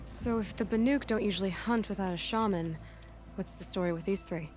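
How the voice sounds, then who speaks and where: A woman's voice: mid-range voice, fairly quick, a little mellow, faint music behind, natural voice. Skeptical young female game heroine, played by an actress: mid-range voice, wry, straight-talking, guarded. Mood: skeptical, probing. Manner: dry, direct, skeptical. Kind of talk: video game cutscene acting.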